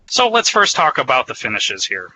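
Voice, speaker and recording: A man's voice: mid-range voice, huge pitch swings, normal speed, bright, forceful tone, slight background noise, poor audio. A man giving an explanatory, conversational product presentation in a semi-scripted webinar style.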